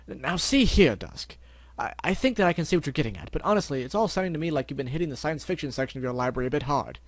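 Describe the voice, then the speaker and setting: A prissy, dramatic storybook unicorn stallion, put on by a man with a raised, lively voice that swings higher and louder as he gushes and frets. He sounds pampered and easily flustered. The voice comes across dry and close. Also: fast, no echo. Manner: theatrical, fussy and flustered.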